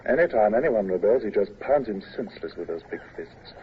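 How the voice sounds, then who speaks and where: A man's voice: fairly low pitch, animated, fairly quick, natural voice. Brief: man as a frank shipmate giving advice, vintage radio drama sound. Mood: confiding. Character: friendly young male midshipman, frank and helpful. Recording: lo-fi old radio broadcast with hiss.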